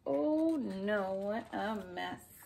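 A woman's voice: medium pitch, lively intonation, extremely slow, creaky, darkish tone, a little hiss or hum. A woman in her forties reading a children's picture book aloud expressively, speaking to young listeners with questions and asides. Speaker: storybook reader for children. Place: small furnished room at home, indoors.

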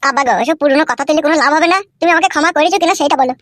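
Cartoon young village womanizer, acted by a man: very high, rushed, whiny excuses. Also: fairly bright tone. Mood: pleading. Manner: whiny, melodramatic pleading. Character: hapless, pleading young village son, excuse-making womanizer. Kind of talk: comic cartoon dialogue. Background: faint music.

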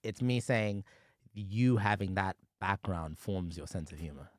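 Young male podcast host from Zimbabwe, lowish voice, lively and laughing, dry studio mic. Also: measured pace, no room sound. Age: young adult. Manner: animated, laughs often, gestures while talking. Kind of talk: casual podcast chat. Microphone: boom mic on arm, headphones.

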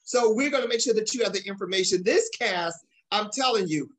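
A middle-aged man with an American accent hosts a talk show from home over a video call. His pitch sits in an ordinary range. He speaks conversationally and leans on his points, often saying them twice. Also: expressive, brisk, fairly bright tone, rough recording. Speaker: talk show host, playwright and director.

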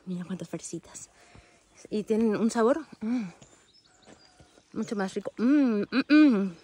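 A woman from Cartagena, Spain, chatting excitedly while she hikes up a mountain trail and repeats her words when something catches her eye. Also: medium pitch, takes it slowly, breathy edge. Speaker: vlogger on a hike. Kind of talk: casual walking vlog. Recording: outdoor recording, faint background noise.

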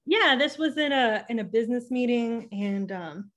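A young woman with a slightly high voice talks as a podcast guest from a home room, close to a studio microphone. She works her ideas out aloud, restarting sentences and gesturing as she goes. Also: normal speed. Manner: thoughtful, restarts often, animated with hand gestures. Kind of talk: casual interview conversation. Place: home room with bookshelf and patterned wall hanging. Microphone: studio microphone on a boom arm.

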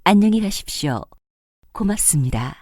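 A woman's voice: medium pitch, very animated, normal speed, darkish tone, no echo, clean studio sound. Melodic, polite female subway announcer. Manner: polite, formal. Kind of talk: scripted transit announcement.

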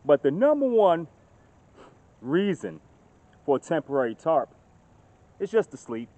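A man in his thirties talking casually to a handheld phone camera outdoors in the woods, getting loud and excited as he shows off his work. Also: a little high, a little slow. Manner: chatty, gets loud and excited showing off. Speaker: outdoors vlogger. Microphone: phone camera held at arm's length. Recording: dull lo-fi phone audio outdoors.

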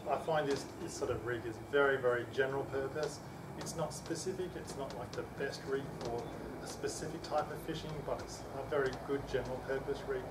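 Distant, echoey man explaining gear outdoors. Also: medium pitch. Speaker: host of a kayak fishing video channel. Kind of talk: explanatory gear talk to camera. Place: outdoors in a suburban backyard. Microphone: camera mic from a few metres. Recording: distant, echoey, noisy outdoor camera audio.

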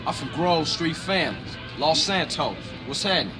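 An actor playing a wary, put-upon young American gangster in a video game, slangy and informal. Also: male voice, a little high, lively intonation, normal speed, music in the background, close to an everyday voice. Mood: wary, casual. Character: street-wise young male gangster, wary, put-upon, plain-spoken. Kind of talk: video game cutscene acting.

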